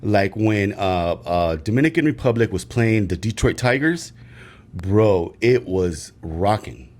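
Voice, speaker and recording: Man, lowish voice, casual sports podcast, rambling, restarts, emphatic bursts. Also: a little slow. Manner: rambling, lots of fillers and restarts; animated, emphatic when excited. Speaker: podcast co-host.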